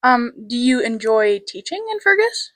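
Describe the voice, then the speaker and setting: A teenage girl working as a student reporter, asking brisk, cheerful interview questions. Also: on the high side, very animated, fairly bright tone, dry recording. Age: teens.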